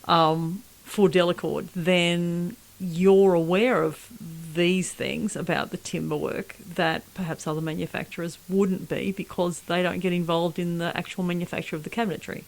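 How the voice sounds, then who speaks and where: A woman's voice: lowish voice, steady tone, normal speed, gravelly, hardly any pauses, poor audio. A woman hosting a casual conversational interview, probing and summing up what her guest has said. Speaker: podcast interviewer.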